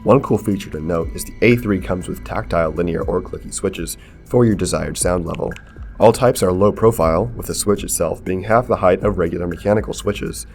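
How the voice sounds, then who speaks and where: A man narrating a scripted gaming tutorial in a measured, explanatory way, as a video creator. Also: low voice, darkish tone. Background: background music.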